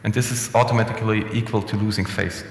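A young man giving a relaxed, conversational conference talk with frequent restarts and fillers, speaking into a headset mic in a conference room. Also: lowish voice, quick pace. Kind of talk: conference presentation. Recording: echoey hall with long reverb.

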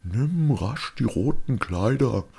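Guy doing a magical cow from a fairy tale, solemn and wise, slow and raspy. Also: medium pitch, animated, mellow, voice pushed up, heavily acted. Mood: urging. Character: wise, magical talking cow, calmly persuasive.

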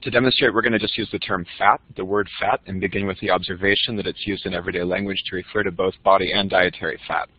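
A man with a cold presenting a teaching method in a webinar, explaining in a steady, slightly halting way. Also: low-pitched, slightly rough, dry recording. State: has a cold. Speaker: college instructor. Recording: muffled dry webinar audio.

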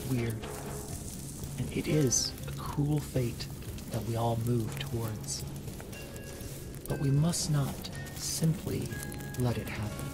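A woman playing a stern, defiant ancient Norse seeress in an audio drama, speaking in solemn, measured, formal declarations. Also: very deep voice, full character voice. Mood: solemn, resolute.

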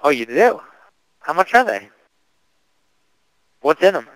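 A young man speaks casually on a prank call. His voice is clear and dryly recorded, with little room sound. He plays the earnest customer, slangy and full of questions. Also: on the high side, very animated, slow, fairly bright tone, no echo, low-quality recording. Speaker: prank caller.

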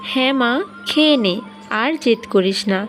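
Woman doing a village wife n mom in a cartoon, resigned, motherly, highish voice, light music. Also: lively intonation, normal speed, natural voice. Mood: motherly, practical. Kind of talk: animated fairy-tale cartoon dub.

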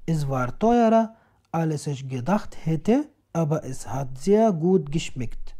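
A woman reads out short sentences at a very slow, careful pace, each word clearly spaced. Her voice is soft and mellow, sitting a little low. She sounds like a teacher recording a voice-over. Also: expressive. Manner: slow, deliberate, clearly enunciated. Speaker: language teacher. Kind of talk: slow read example sentences, each twice.

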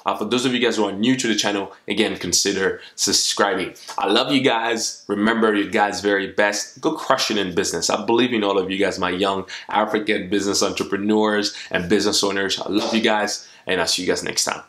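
A man's voice: on the low side, fairly even intonation, fairly quick, hardly any pauses, a bit bright. A young man from the Democratic Republic of Congo giving encouraging business advice straight to the camera in a home vlog. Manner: upbeat, encouraging, speaks straight to the viewer. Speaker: motivational YouTuber. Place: small room at home, indoors. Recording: echoey small room.

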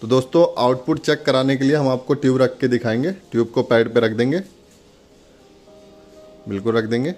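Man, Indian accent, mid-pitched voice, casual instructional demo, step-by-step, faint music behind. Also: fairly even intonation, fairly quick, pauses often, a little mellow. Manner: instructional, addresses viewers as friends. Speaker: product demonstrator.

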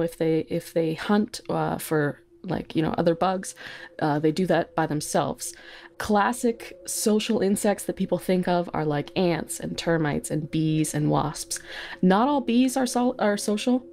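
A woman's voice: fairly low pitch, creaky, dark tone. A woman, a podcast guest, explaining things in a casual, nerdy conversational way. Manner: self-aware, nerdy explaining. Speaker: podcast guest, insect research technician. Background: background music.

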